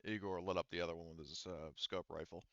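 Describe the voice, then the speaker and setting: A man's voice: deep voice, little pitch movement, fast, a bit bright, no room sound, highs cut off. A man running a tabletop game as game master, narrating casually and often groping for words.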